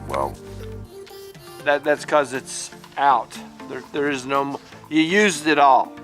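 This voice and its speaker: A middle-aged man, a teacher, talking in a casual vlog monologue, halting and repeating words as he goes, livelier when he tells a story. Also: medium pitch, fairly bright tone, faint music behind. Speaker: vlogger, teacher.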